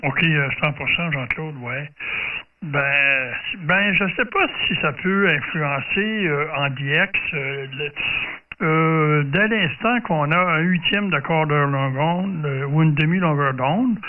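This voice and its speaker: A man with a Quebec French accent chatting casually as an amateur radio operator, in a halting, hesitant way. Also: a little high. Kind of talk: casual radio contact chat. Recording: tinny band-limited radio audio, distant from mic.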